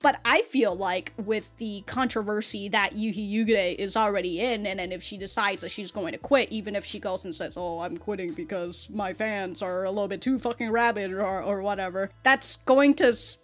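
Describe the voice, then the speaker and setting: A woman's voice: medium pitch, normal speed, few pauses, soft background music. A woman hosting a casual reaction video, talking in an exaggerated, rambling way with stammered repeats and frequent swearing. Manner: self-described exaggerated on-camera delivery. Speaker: YouTube reaction host.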